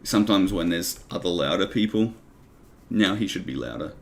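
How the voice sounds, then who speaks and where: A man with a gravelly voice, chatting and teasing a friend drily during a gaming stream. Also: sits low, lively intonation, normal speed. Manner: dry, teasing banter. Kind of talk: casual gaming chat.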